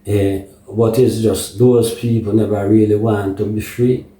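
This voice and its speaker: Slow, echoey Jamaican man. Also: on the low side, little pitch movement, mellow. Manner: deliberate, halting, with rhetorical check-ins. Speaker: political commentator. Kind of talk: unscripted political monologue with patois phrasing. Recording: echoey room, distant microphone.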